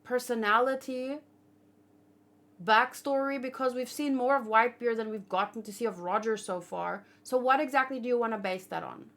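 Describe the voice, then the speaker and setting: Higher-voiced woman chatting casually. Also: moderate pace, fairly bright tone. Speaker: livestream host. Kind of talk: casual chat, manga discussion and commentary.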